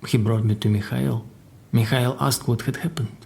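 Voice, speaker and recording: An actor playing a crime boss's guarded secretary in an audio drama, telling his story in a dry, deliberate way. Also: medium pitch, fairly even intonation, creaky, warm tone, close to an everyday voice. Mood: grave, measured. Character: formidable Russian crime boss's secretary, guarded male survivor. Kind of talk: audio drama dialogue and narration.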